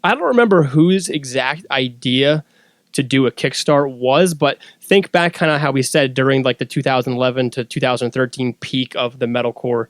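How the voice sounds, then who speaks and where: A man's voice: mid-range voice, normal speed, dry recording, clean studio sound. A man telling stories in a casual, wordy, informal way as a podcast guest. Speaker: podcast guest and former band member. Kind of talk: casual conversational storytelling.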